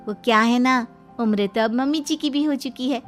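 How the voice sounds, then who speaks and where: Woman as sweet, high-voiced young daughter-in-law. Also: moderate pace, faint music behind, lightly acted. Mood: mock innocent. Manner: polite, pointedly earnest.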